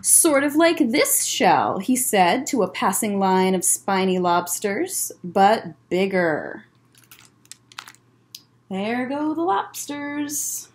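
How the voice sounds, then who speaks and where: A young American woman, a children's librarian, reading a picture book aloud to children with expressive, deliberate phrasing and short asides. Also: medium pitch, slight background noise. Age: young adult. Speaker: children's librarian hosting story time. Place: indoor library room lined with bookshelves. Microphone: webcam at desk distance.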